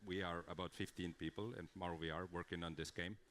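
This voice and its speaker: Brief: man, conference speaker, very low voice, earnest and explanatory. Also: steady tone, fast, fairly bright tone, a little hiss or hum. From Estonia. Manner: earnest, explanatory, with frequent fillers and restarts. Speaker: conference speaker, game creative director. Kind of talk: conference talk.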